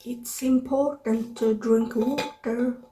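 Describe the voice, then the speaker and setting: A woman's voice: on the high side, fairly even intonation, slow, mellow, slight background noise. A young woman from Sweden with a Swedish accent, talking casually to camera in a hesitant way with many fillers, recorded on a device mic with no external microphone. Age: young adult. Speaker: YouTuber. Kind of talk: casual vlog talk to camera.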